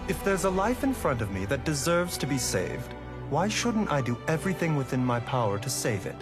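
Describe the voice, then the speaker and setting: An actor playing a gentle but resolute doctor in a video game, composed and earnest, with music behind. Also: male voice, a little high, big pitch swings, a little fast. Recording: loud music under the voice.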